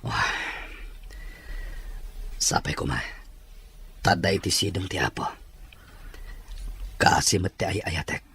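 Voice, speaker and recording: A man's voice: low voice, slow pace, long pauses, fairly bright tone, slightly rough, full character voice. A man playing an ailing boss who longs for his lost child, in a radio drama. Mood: anguished longing.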